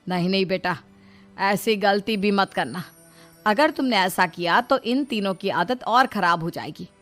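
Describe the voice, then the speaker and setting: A woman's voice: mid-range voice, a little slow, fairly bright tone, natural voice. A woman voicing a crafty, firm middle-aged mother-in-law in a cartoon story, coaxing and pleading by turns. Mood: worried. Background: faint background music.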